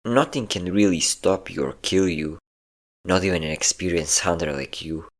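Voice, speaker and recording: Man playing a deep-voiced, teasing male vampire. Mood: smug, taunting. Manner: taunting, flirtatious, slow endearments. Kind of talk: intimate roleplay audio acting.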